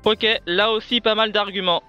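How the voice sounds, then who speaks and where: A man's voice: sits high, fairly even intonation, normal speed, pushed and bright, dull audio. A man hosting a show, presenting and addressing his viewers directly. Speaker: host. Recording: background music under voice.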